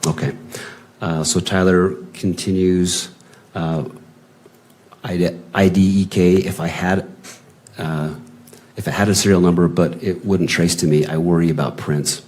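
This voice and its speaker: Male witness reading a message exchange into the record, very low and mellow, no acting. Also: fairly even intonation, measured pace, long pauses. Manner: plain, unacted reading with attributions. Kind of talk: reading written messages aloud, hearing testimony.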